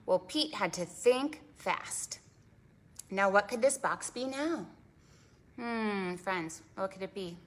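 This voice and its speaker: A woman hosting a preschool storytime, reading aloud in an animated, sing-song way with dramatic emphasis and questions for the children. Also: medium pitch. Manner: prompts listeners with questions. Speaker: children's librarian and storytime host.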